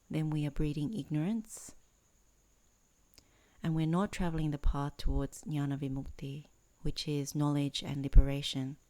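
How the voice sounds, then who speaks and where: A woman teaching in a guided meditation, speaking in measured, earnest phrases with pauses between them. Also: low voice, warm tone. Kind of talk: guided meditation introduction, Dhamma talk.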